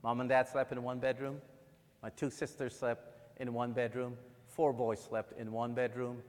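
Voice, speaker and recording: A man from Guyana preaching a sermon, moving from quiet personal storytelling to loud, fervent emphasis. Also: medium pitch, flat delivery, normal speed, long reverb tail.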